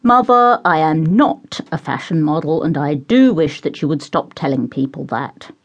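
Sullen, clipped young son, slow and stony, low husky tone, read by a woman narrator. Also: expressive, muffled recording. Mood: surly. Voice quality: surly, clipped, through gritted teeth. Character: surly irritable grown son, young man.

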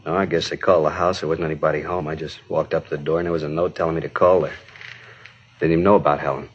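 An actor playing a distraught, exhausted, bitter husband in an emotional 1950s radio drama style. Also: male voice, a bass voice, level tone, very fast, husky. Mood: dazed, worried. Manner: anguished, repetitive, emotional. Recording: dull, muffled 1950s radio recording.

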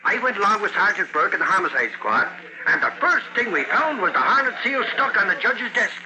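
Crime reporter, keyed-up, high voice, lively swoops, vintage radio drama. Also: male voice, brisk, dull audio, a crowd in the background. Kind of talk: old-time radio drama acting.

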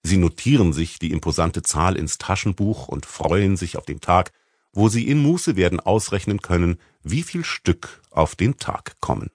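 Male audiobook narrator, low voice, wry and articulate, dry close sound. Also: expressive, normal speed, a little mellow, no room sound. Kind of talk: scripted literary narration.

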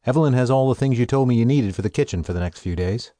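Man acting a wry, genial, animated country gentleman. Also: mid-range voice, quick pace, no echo, close to an everyday voice. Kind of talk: audio drama acting. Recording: music behind the voice.

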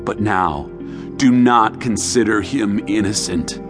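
A man playing a grave old dying king, giving counsel in a solemn, deliberate way in a scripture drama. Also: on the low side. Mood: grave, admonishing. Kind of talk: dramatized scripture audiobook acting. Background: music.

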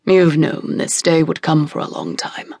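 A woman voicing a stern middle-aged king who speaks in a low, firm, controlled way. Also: very animated, unhurried, creaky, a little mellow, slightly rough, no echo, clean studio sound, exaggerated voice.

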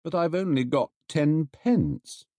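A man's voice: on the high side, slow pace, mellow, no room sound, clean studio sound, pitched above the performer's own voice. A man voicing a polite, earnest young male bear who speaks plaintively. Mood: sad.

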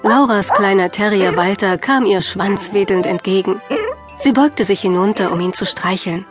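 Female narrator, medium pitch, measured children's storytelling, clear phrasing, faint music behind. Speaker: audiobook narrator.

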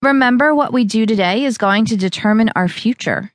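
A woman voicing a pushy, upbeat young female fitness trainer who coaxes loudly. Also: on the high side, a little fast, no echo, studio quality, voice pushed up, close to an everyday voice. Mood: bossy. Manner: pushy, cheerful, loud coaxing.

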